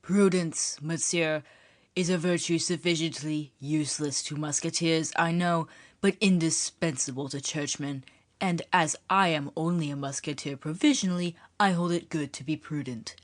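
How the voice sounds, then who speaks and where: A woman reading an audiobook, voicing a young musketeer with churchly airs, polished, ironic and measured. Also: deep voice, lightly acted.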